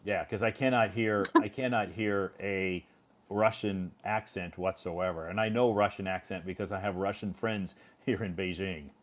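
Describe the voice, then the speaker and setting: Man, middle-aged, lowish voice, host, casual chat, rambling, restarts. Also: fairly even intonation, moderate pace. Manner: chatty, rambling, many restarts and fillers. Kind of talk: casual conversational interview.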